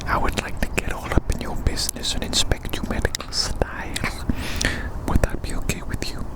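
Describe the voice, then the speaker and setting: An ASMR performer whispering breathily in a medical inspection roleplay, slowly repeating phrases in a playfully eccentric, mock-formal way, recorded outdoors. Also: low-quality recording. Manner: slow, repeats phrases softly. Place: outdoors in light wind.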